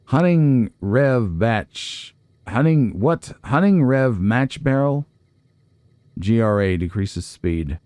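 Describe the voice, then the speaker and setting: A man's voice: medium pitch, lively intonation, slow, a little mellow, smooth, no room sound. A man giving casual gameplay commentary, chatty and folksy, musing aloud to himself. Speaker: let's play host.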